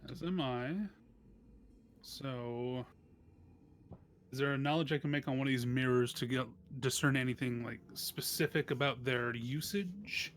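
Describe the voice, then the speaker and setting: A man playing a tabletop roleplaying game, chatting casually in a hesitant, halting way. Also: mid-range voice, a bit bright, slight background noise.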